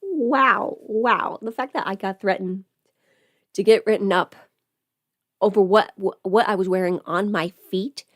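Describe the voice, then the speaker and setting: A woman's voice: medium pitch, a little slow, no room sound. Female livestreamer, mid-range voice, off-the-cuff and loosely rambling get-ready chat, mildly sweary, very dry sound. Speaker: livestream host. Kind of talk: casual livestream chat while doing makeup.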